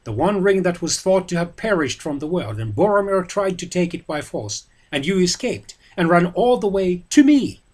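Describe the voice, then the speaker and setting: A man's voice: sits high, expressive, moderate pace, hardly any pauses, a little mellow, pitched above the performer's own voice. A man voicing a shrewd, commanding captain, speaking in a musing, declaiming way. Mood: triumphant.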